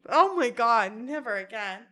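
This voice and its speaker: A woman telling a rambling, profane story in a casual vlog, mocking herself and breaking into loud laughter. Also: on the high side, rough recording. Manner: self-mocking and animated, bursts of loud laughter. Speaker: vlogger.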